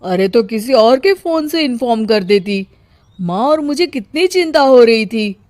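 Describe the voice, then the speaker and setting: A stern young husband from a cartoon , played by a woman, curt and reproachful. The voice is fairly high and recorded close and dry. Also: expressive, a little slow, a little mellow, no echo. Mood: scolding. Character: stern, scolding young husband and elder brother.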